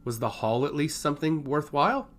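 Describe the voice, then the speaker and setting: A man's voice: fairly high pitch, brisk, a bit bright, close to an everyday voice. A man voicing a proud, burdened island king in a fantasy story, blunt and commanding. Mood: wry, frustrated.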